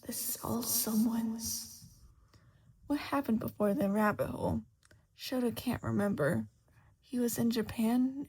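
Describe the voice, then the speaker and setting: A woman narrating fan fiction dramatically and expressively, at times hushed. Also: medium pitch, breathy edge. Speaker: podfic narrator.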